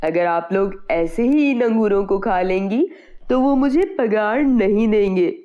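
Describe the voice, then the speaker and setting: A woman's voice: medium pitch, unhurried, smooth, muffled recording, lightly acted. A woman voicing an honest, worried farm labourer in a children's moral story cartoon, earnest and plain-spoken. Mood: worried, reproachful.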